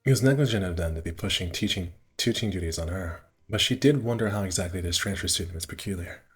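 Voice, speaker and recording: A man with a low, slightly rough and husky voice reads story narration aloud for a casual game stream. He stumbles now and then and drops into offhand asides. Soft game music plays behind him. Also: quick pace. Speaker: streamer reading a visual novel aloud.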